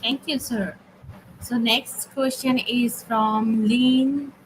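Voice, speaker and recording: A woman moderating an online seminar, reading out audience questions in a polite, unhurried way; English is not her first language. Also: a little high, fairly even intonation. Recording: noisy online call audio.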